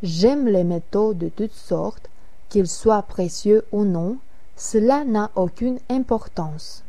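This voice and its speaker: A woman reading the part of Anne, an adult woman who likes simple sporty clothes, in a slow, scripted lesson dialogue. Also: medium pitch, warm tone. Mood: plain. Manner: careful, evenly paced reading.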